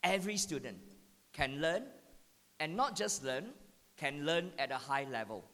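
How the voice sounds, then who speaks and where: A man's voice: on the high side, animated, unhurried, fairly bright tone. A man with a Singaporean accent giving a talk to teachers, repeating key points and checking in with the audience with "yeah?". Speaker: education speaker. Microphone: handheld mic. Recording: hall with long reverb.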